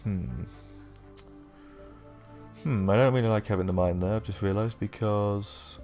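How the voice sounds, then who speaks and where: A young man with a sore, croaky throat, rambling casually through let's-play commentary with lots of fillers. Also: on the low side, fairly even intonation, a little slow, a little mellow, faint music behind. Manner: rambling, self-deprecating, with many fillers. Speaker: gaming YouTuber.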